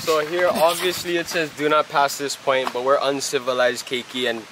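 Young man joking over loud outdoor noise. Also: mid-range voice, level tone, normal speed. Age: young adult. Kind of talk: casual joking explanation to camera. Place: outdoors on a wooded trail. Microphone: camera at arm's length.

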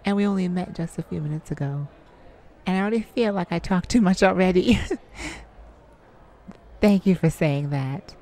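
A woman's voice: fairly low pitch, moderate pace, long pauses, warm tone, voice pushed down, lightly acted. A woman voicing a shy, sweet, easily flustered young male singer in an ASMR roleplay, with a stammering, earnest manner. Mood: sweet, bashful.

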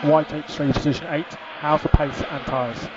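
A male race engineer speaks over a muffled team radio with clear noise on the line. His voice sits fairly high. He gives calm, clipped instructions and often repeats them. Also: normal speed. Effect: team radio filter. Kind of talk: terse, instructional radio messages. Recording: muffled, noisy motor-racing team radio.

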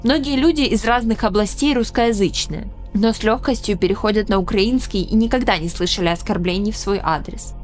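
A woman's voice: medium pitch, brisk, hardly any pauses. Young woman, from Ukraine, earnest solemn narration, studio mic, faint music underneath. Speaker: channel host. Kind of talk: scripted personal narration. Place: small indoor room with a studio mic. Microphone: studio microphone in frame.

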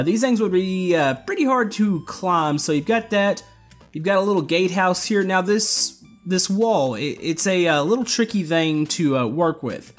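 A young man hosting a game video, giving a relaxed, rambling tour of his build with plenty of pauses and fillers. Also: fairly high pitch. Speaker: gameplay channel host. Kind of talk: casual game walkthrough commentary.

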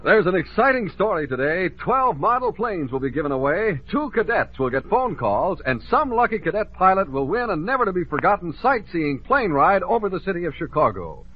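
An American man announces in the style of 1950s radio. His pitch sits a little high and moves around in a lively way. The recording is boxy and narrow, with an unclear, rough edge to the voice. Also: fairly quick, few pauses, soft background music. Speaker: announcer and co-host.

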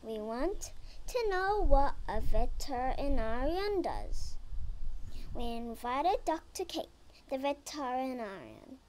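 Slow careful young reader of a picture book. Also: female voice, sits high, big pitch swings, darkish tone, a little hiss or hum. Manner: slow careful reading with some stumbles. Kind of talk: reading a picture book aloud.

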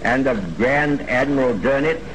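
A man making a formal wartime radio announcement in solemn, deliberate phrases. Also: medium pitch, animated, music in the background. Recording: noisy 1940s radio recording.

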